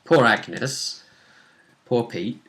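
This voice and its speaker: A man's voice: mid-range voice, lively intonation, drawn-out words, fairly bright tone. A man chatting casually as a game master, recapping a tabletop role-playing session.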